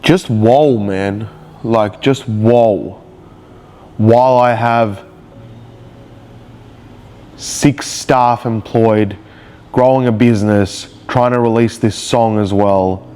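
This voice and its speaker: A man's voice: on the low side, steady tone, slow, pauses often, dark tone, smooth. A man with a cold, sounding nasal, talking emotionally and rambling in a confessional video diary. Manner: rambling, emotional, searching for words. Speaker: vlogger.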